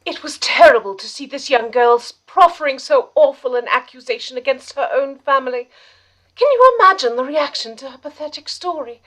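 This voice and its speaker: A woman's voice: high voice, lively intonation, a little fast, fairly bright tone. A woman playing a sympathetic detective's sidekick, narrating a period mystery in a radio drama. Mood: sympathetic, dramatic.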